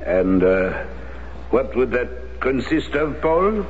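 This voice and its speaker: An actor playing a principled, unbending priest and former Resistance fighter in a radio drama, with a grave, deliberate manner. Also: male voice, medium pitch, sing-song. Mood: wary, questioning. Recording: muffled old radio recording, faint noise.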